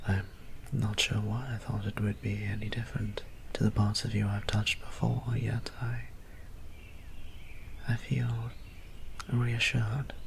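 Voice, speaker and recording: Male actor as courtly, shy vampire priest, ASMR audio drama. Soft, breathy, very even delivery, slow and tender. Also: lowish voice, slight background noise, full character voice. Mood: nervous, shy. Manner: hesitant, tender, formal old-fashioned wording.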